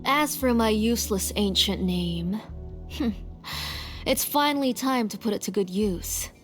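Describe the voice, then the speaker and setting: A woman's voice: mid-range voice, measured pace, faint music behind, close to an everyday voice. A woman voicing a grieving, obsessive mother mage in a dramatic video game, tender yet desperate and menacing. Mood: grim, menacing. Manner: tender murmurs turning to desperate, menacing resolve. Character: grieving, obsessive mother mage bent on reviving her daughter. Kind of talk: dramatic English game voice acting.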